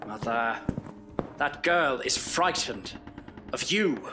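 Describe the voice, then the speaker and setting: A man playing a weary, earnest Victorian gentleman in an audio drama, formal and probing. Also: medium pitch, lively intonation, slow, a little hiss or hum. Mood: pleading, insistent.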